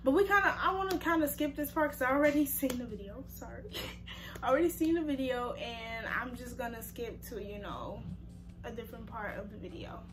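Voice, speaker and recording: A woman's voice: on the high side, a little fast, over music. A young woman hosting a reaction video, talking casually and rambling with lots of fillers, her voice worn from getting sick. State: losing her voice from sickness. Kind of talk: casual slangy chat to viewers.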